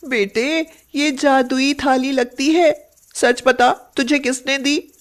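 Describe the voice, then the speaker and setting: A woman voicing a poor, caring village mother who speaks earnestly and expressively in an animated moral story. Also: high-pitched, slow pace, pitched above the performer's own voice. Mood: curious, wary.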